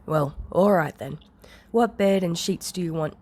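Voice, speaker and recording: A woman voicing a dry, practical, suspicious man who is a guardian, in a flat questioning manner. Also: on the low side, a little slow. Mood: practical, doubtful.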